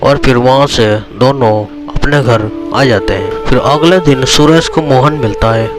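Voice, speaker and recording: A man's voice: mid-range voice, unhurried. A man narrating a cartoon tale as a storyteller. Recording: music behind the voice.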